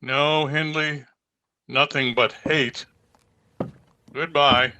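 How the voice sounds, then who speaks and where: A man plays a concerned country doctor in a gothic radio play. His voice is a little high and bright. He speaks slowly and earnestly, with deliberate weight. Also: steady tone, a little hiss or hum, exaggerated voice. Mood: grave, urgent. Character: grave, concerned country doctor and old family friend. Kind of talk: old-time radio acting.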